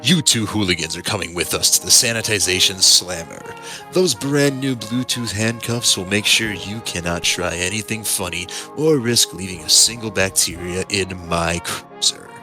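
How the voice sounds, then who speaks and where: Man playing a deep-voiced pompous cop. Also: normal speed, music in the background, natural voice. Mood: stern, officious. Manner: officious, declamatory. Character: stern pompous male sanitization cop. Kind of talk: comedic audio drama acting.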